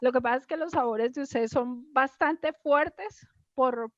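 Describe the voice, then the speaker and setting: High-voiced Colombian woman, dull audio. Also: unhurried, no room sound. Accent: Colombian, Cali area. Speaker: host. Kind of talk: casual conversational hosting.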